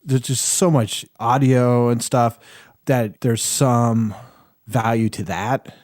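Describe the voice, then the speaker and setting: A man with a medium-pitched voice speaks as a podcast panelist. He is casual and reflective, feeling his way through his opinion haltingly, with a dry, room-free sound. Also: steady tone, darkish tone, no echo. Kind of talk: casual spoken review.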